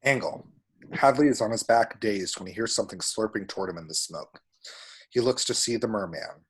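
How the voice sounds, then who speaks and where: A man with a husky voice reads screenplay action descriptions aloud as a narrator. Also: lowish voice, brisk, poor audio. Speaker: table-read action narrator.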